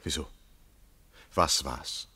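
A man playing a courteous, eager but evasive gentleman journalist in a radio play, speaking politely and carefully. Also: takes it slowly, no room sound. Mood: probing. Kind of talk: 1960s German radio play acting.

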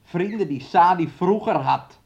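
An actor playing a domineering, resentful young fiancé in a radio play, with a taunting, needling way of talking. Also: male voice, sits high, lively intonation, a little slow, vocal fry, a little mellow, dull audio, heavily acted. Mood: irritated. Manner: speaks of himself and her in third person, taunting.

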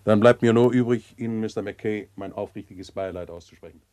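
An actor playing a shrewd, courteous police inspector questioning witnesses in a German crime radio play, methodical and polite. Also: male voice, lowish voice, a little fast, a little mellow, dry recording, natural voice. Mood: sombre.

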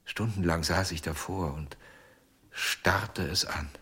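An actor playing a haunted, obsessive ventriloquist in a German radio crime drama, husky and often near a whisper, speaking slowly and haltingly. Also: male voice, low-pitched, heavily acted. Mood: haunted, weary. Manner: slow, halting, hushed storytelling. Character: haunted, obsessive male ventriloquist confessing, tender and weary.

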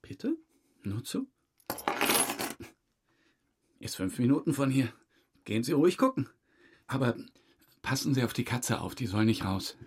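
A man's voice: mid-range voice, big pitch swings, normal speed, long pauses, a little mellow, slight background noise. An actor playing a talkative, defensive man questioned by police in an audio crime drama, colloquial and slightly aggrieved. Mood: guarded. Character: chatty male car owner under questioning, defensive, evasive. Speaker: witness being questioned by police.

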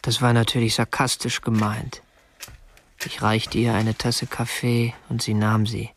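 An actor playing a prim, obsessive young kidnapper in a radio drama, speaking in a stiff, formal, matter-of-fact way. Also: male voice, on the low side, level tone, unhurried, a little hiss or hum. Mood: detached recounting. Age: 20s. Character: prim, obsessive young male kidnapper, narrating his deed. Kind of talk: radio drama narration and dialogue.

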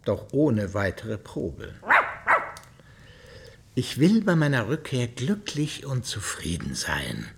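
A man playing a stern, dignified English baronet and father in a radio drama, speaking formally and with measured reproach. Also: mid-range voice, big pitch swings, gravelly, exaggerated voice. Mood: measured, firm. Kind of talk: literary radio drama acting.

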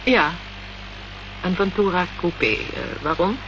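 A woman's voice: fairly low pitch, expressive, a little slow. An actress playing a cool, guarded woman of a political movement in a radio play, formal and evasive. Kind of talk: Dutch radio play acting. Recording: dull, noisy old radio play recording.

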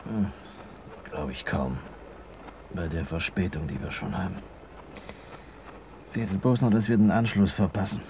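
An actor playing a tired, irritable husband in a German radio play, grumbling sarcastically over the rumble of a train. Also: male voice, lively intonation, a little slow, pauses often. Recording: train rumble noise behind the voice. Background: moving train ambience.

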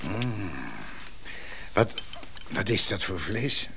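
A man's voice: lowish voice, lively intonation, drawn-out words, noisy background. A man playing a lonely, nostalgic older Dutchman in a radio drama, savouring and hesitant. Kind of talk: naturalistic radio play dialogue.

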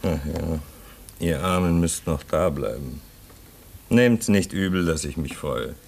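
A man's voice: very deep voice, vocal fry, darkish tone, exaggerated voice. An actor playing a weary, homesick man stuck at a polar station, speaking in a brooding, bitter way. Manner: brooding, bitter, slow and drawn out. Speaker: radio drama actor. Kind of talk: 1950s-style German radio play acting.

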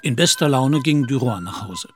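A man's voice: medium pitch, lively intonation, moderate pace, faint music behind. A man narrating a literary radio drama in scripted storytelling style.

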